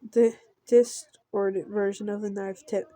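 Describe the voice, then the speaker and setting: A woman reading visual novel text aloud in an amateur let's play, halting and often repeating words as she goes. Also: medium pitch, flat delivery, mellow, no room sound.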